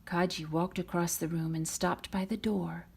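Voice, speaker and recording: A woman reading a children's storybook aloud in an expressive, dramatic manner. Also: fairly low pitch, normal speed, creaky, husky. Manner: dramatic, voices the characters' lines. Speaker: storybook reader.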